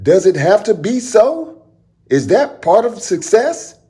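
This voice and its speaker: A man's voice: high-pitched, sing-song, slow, warm tone, breathy edge, voice pushed up, full character voice. A man voicing a curious little girl scientist in a storybook, inquisitive and full of wonder.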